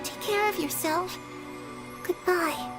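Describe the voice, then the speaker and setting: A woman voicing a gentle, innocent ghostly little girl in a video game, with a tender childlike delivery. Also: extremely high pitch, slow pace, loud music behind the voice. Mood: wistful, tender.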